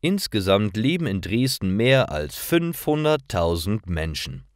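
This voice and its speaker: A man reading scripted stories for language learners in a clear, deliberate storytelling manner. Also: on the low side, very animated, dry recording, clean studio sound. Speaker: narrator of German learning stories.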